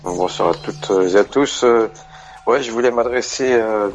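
A man's voice: lowish voice, a little slow, dull audio, soft background music. Hesitant man in his forties calling in. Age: 40s. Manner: hesitant, searching for words. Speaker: radio caller. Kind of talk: call-in radio chat.

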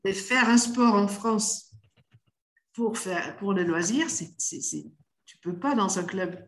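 A woman's voice: mid-range voice, slow. Hesitant middle-aged woman. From Netherlands. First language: Dutch. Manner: hesitant, many restarts and fillers. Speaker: guest, doula of the inner child. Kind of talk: casual podcast conversation.

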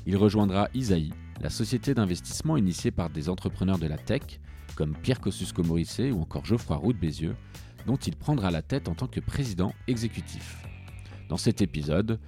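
A man hosting a podcast, reading a smooth scripted intro and then asking questions with hesitant fillers. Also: sits low, dark tone. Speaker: podcast host.

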